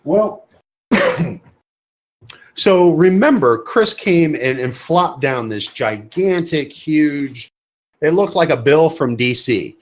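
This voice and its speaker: A man's voice: fairly high pitch, expressive, slow pace, a little hiss or hum. Blunt, emphatic podcast co-host man. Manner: emphatic, repetitive, blunt opinions. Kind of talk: casual podcast chat.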